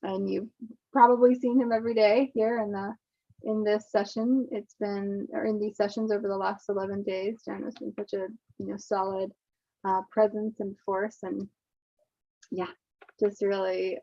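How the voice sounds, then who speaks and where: A woman hosting an online session, introducing a guest in a halting way with many fillers, over a video call. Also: mid-range voice, flat delivery, no echo. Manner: warm, halting, lots of fillers. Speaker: festival session host. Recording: dull, dry lo-fi call audio.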